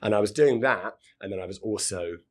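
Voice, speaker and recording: A man in his thirties, a podcast guest, chatting in a relaxed, rambling way with a hoarse, scratchy voice. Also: fairly low pitch, brisk. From United Kingdom. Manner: chatty, rambling, repeats words. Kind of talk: casual interview talk.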